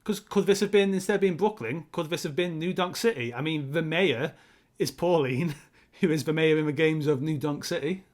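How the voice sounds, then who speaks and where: Higher-voiced man chatting about films. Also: normal speed. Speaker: co-host of a film discussion show. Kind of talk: casual chat, film commentary.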